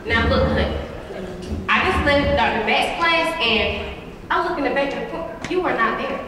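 A sassy young American student played by a woman talks in a high, swooping voice in a stage play. It sounds far from the mic in a noisy, echoing hall. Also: lively intonation, normal speed. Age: young adult. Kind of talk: live gospel stage play dialogue. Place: theater stage, picked up by a far camera. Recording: echoey theater stage, distant camera, noisy.

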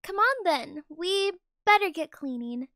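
A woman's voice: extremely high pitch, expressive, unhurried, pushed and bright, no echo, clean studio sound, full character voice. A young female voice actor playing a snappy, sassy teenage schoolgirl in an amateur game movie.

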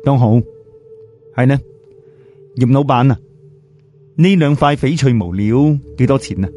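A man's voice: medium pitch, unhurried, mellow, faint music behind, natural voice. Man acting a blunt, self-assured seasoned gambler. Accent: Cantonese.

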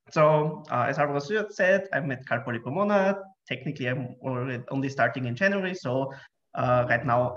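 A man in his thirties, originally from Austria, giving a friendly, self-deprecating conference talk on a video call, with frequent fillers. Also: on the high side. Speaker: computer science researcher giving a talk. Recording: muffled video call audio.

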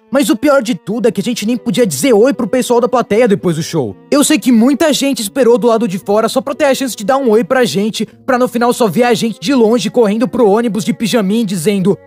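A Brazilian man voicing a young, wry cartoon storyteller in a chatty, animated dubbed narration. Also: extremely high pitch.

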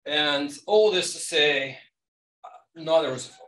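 A man's voice: fairly high pitch, unhurried, sharp tone. A middle-aged man from Kazakhstan presenting at a conference, conversational, often checking in with the audience. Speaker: conference panelist. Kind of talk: academic presentation. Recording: echoey room, distant mic.

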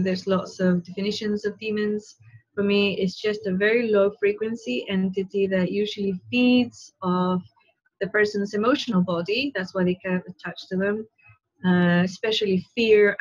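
A middle-aged woman giving an informal spiritual talk in a conversational, emphatic way, often checking in with short tag questions, recorded indoors on an earphone headset. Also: mid-range voice. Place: small living room, indoors. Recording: dull, lo-fi webcam audio.

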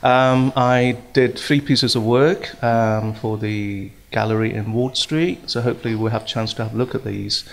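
A man's voice: mid-range voice, steady tone, moderate pace, creaky, hardly any pauses. Hesitant man giving a conference talk. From Hong Kong. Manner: hesitant, many fillers and restarts. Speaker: speaker at a symposium. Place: large hall with audience. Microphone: stand microphone.

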